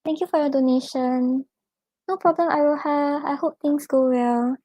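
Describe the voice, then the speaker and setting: A young Singaporean woman giving a live-stream tarot reading, offering gentle reassuring advice with casual fillers. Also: high-pitched, fairly even intonation, normal speed, no echo. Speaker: tarot reader.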